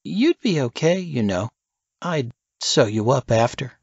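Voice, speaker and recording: Man as young woman, coquettish, menacing, raised pitch, animated intonation, audiobook thriller character. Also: unhurried, gravelly, breathy edge, dry recording, lo-fi sound, exaggerated voice. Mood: teasing.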